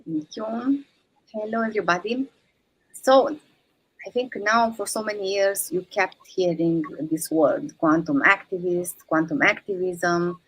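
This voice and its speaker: A woman's voice: mid-range voice, a little slow, slightly rough, low-quality recording. A woman from Romania, giving an earnest, thoughtful explanatory talk full of "uh" and "um" and restarts. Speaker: guest speaker, teacher of quantum activism. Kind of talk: explanatory talk in an online session.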